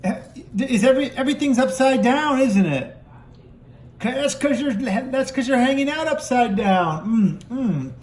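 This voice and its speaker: A man doing playful improvised puppet play for children, with animated exclamations and teasing. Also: very high-pitched, unhurried, roomy sound. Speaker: father puppeteering toy ponies for a kids' video.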